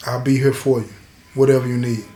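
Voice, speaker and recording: A man's voice: mid-range voice, level tone, a little fast, mellow, a little hiss or hum, lightly acted. Man storyteller doing a loyal grown woman, firm but reassuring, plain talk, echoey room. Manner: reassuring, plain-spoken, resolute. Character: forgiving, mature, self-assured mother, loyal and firm.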